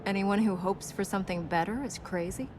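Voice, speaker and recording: An actress playing a serious, resolute young princess in a video game, measured and matter-of-fact. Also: female voice, medium pitch, lightly acted. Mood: solemn. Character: solemn, resolute young princess guiding an adventurer. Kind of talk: video game character acting. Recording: noisy game capture with ambience.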